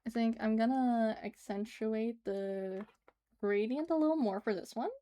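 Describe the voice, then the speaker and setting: A woman's voice: fairly high pitch, measured pace, mellow. A woman livestreaming as she draws, chatting casually and giggling as she answers her chat. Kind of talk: casual chat while drawing on stream.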